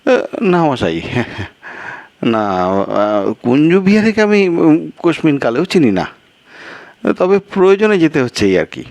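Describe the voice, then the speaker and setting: A man's voice: a little high, sing-song, measured pace, long pauses, darkish tone, no room sound, voice pushed up. A man voicing a weary, anxious, polite traveller in a storytelling piece, fretful, hesitant and plaintive. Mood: defensive. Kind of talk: acted storytelling dialogue.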